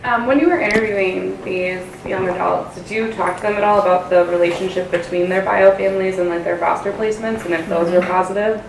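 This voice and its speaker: A woman's voice: mid-range voice, steady tone, a little fast, gravelly, hardly any pauses, noisy background. Female audience member, conversational question, echoey and distant room pickup. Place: lecture room, indoors.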